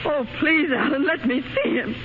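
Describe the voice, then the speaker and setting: A woman's voice: sits high, normal speed, lightly acted. An actress playing a desperate estranged wife and mother, impassioned and pleading, in 1930s radio drama style. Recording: noisy muffled 1930s radio recording.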